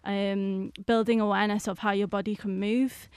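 A woman's voice: mid-range voice, little pitch movement, normal speed, a little mellow, clear, resonant tone, dry recording, clean studio sound. A woman with a Yorkshire accent, answering conversationally as a guest in an interview. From Yorkshire, England. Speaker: interview guest, dance organisation worker.

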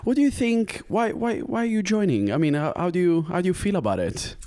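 A man's voice: high voice, sing-song, fairly quick, dark tone. A man from Italy, a professional dancer chatting in a playful, joking way. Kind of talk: casual chat.

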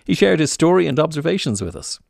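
A man's voice: fairly high pitch, sing-song, fast, gravelly. A man with an Irish accent presenting on radio, moving between scripted links and interview questions.

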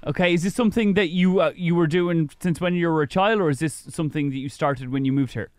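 A somewhat high-pitched man hosts a radio chat with guests. He talks in a loose, chatty way and often restarts his sentences. The studio sound is close and dry. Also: fast talker, dry recording. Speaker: radio host. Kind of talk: radio interview.

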